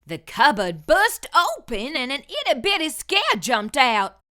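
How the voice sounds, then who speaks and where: Woman, storybook reader, high voice, slow, expressive sing-song delivery. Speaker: storyteller host. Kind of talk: reading a picture book aloud.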